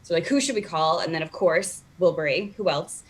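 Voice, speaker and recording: A woman's voice: lowish voice, brisk. A woman chatting casually as an interview guest on a video call, in a talkative, informal way. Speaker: library staff member, interview guest. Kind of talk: casual chat. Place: home, on a video call with a virtual background.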